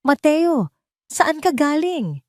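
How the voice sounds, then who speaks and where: A woman's voice: sits high, big pitch swings, slow pace, a little mellow, dry recording, voice pushed up, exaggerated voice. Protective, indignant Filipino matriarch for audio melodrama, voiced by female narrator, dry recording. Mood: worried. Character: protective, indignant wealthy older mother.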